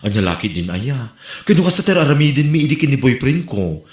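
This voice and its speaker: A man's voice: medium pitch, sing-song, a little fast, background noise, close to an everyday voice. A man with an Ilocano Filipino accent acting a cheeky, gossipy friend full of advice in an amateur comedy audio drama. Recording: roomy, echoing amateur podcast recording.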